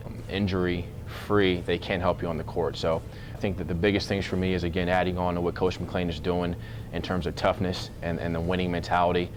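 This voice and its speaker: Deep-voiced man, flat and low. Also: noisy background. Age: 30s. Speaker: basketball performance coach. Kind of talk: informal on-camera interview.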